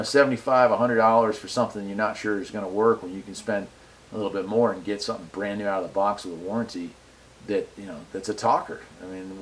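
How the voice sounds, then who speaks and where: A man's voice: fairly low pitch, low-quality recording. Enthusiastic, folksy American man. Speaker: radio hobbyist and video reviewer. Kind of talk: casual product review and radio chat. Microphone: wireless mic set between him and the radio.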